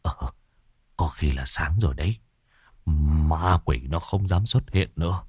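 A man voicing an older village exorcist who urges quietly in a low voice. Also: measured pace, breathy edge, no echo, studio quality, heavily acted. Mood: hushed.

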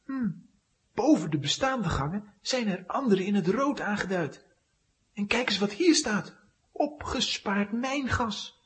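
A woman's voice: medium pitch, expressive, measured pace, natural voice. An actress playing a sensible, alert young boy adventurer in a children's audio drama, earnest as he explains. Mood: curious.

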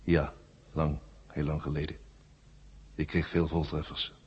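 A man playing an ancient, worn-out war machine brain in a 1970s radio drama, weary and forgetful, in flat, halting, repetitive statements. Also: rumbling low, breathy edge, exaggerated voice. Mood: weary, explaining. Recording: muffled old radio drama recording.

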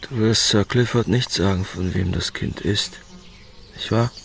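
An actor playing a bitter, proud working-class man in a radio drama, terse and guarded, with a gravelly voice. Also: fairly low pitch, a little slow, slight background noise.

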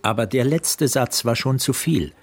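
A man's voice: mid-range voice, animated, a little slow, husky, no echo. A man narrating a literary radio play as storyteller.

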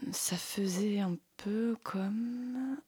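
A woman performs spoken word in a husky, breathy voice. Her pitch sits in the middle of the female range. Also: drawn-out words, mellow, no room sound.

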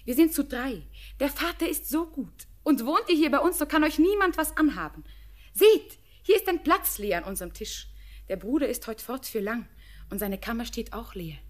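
An actress playing an innocent, tender young village girl in a classic radio drama, speaking lyrical, earnest with hushed phrases. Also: sits high, lively intonation, moderate pace. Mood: gentle, pleading. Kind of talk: classic German radio drama, poetic stage diction.

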